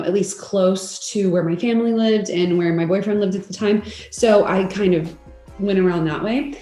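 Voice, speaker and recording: A woman's voice: fairly low pitch, dark tone, reverberant. A young woman from Texas, a law student guest, chatting frankly with hesitant fillers. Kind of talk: casual interview chat.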